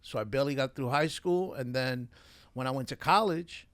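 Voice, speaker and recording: A man's voice: medium pitch, moderate pace, a bit bright, no echo. Streetwise New York man, dry close sound. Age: middle-aged. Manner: streetwise, repeats himself, says man a lot. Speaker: guest. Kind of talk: casual podcast chat.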